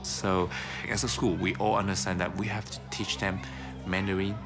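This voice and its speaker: A man's voice: low-pitched, little pitch movement, normal speed, music in the background. A man speaking for a school, explaining with some fillers. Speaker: school representative. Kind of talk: interview.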